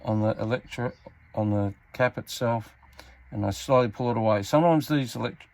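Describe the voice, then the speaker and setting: An Australian man giving a casual hands-on repair tutorial, talking in a halting, unscripted, step-by-step way as he works. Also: mid-range voice. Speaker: hobbyist demonstrating a radio repair. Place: indoors at a repair workbench. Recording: clearly noisy amateur workbench recording.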